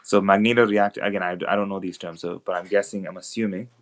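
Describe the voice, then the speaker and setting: A man arguing on an online call, rapid and exasperated, swearing and full of fillers. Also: deep voice, steady tone. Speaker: debater on a call. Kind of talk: heated online debate.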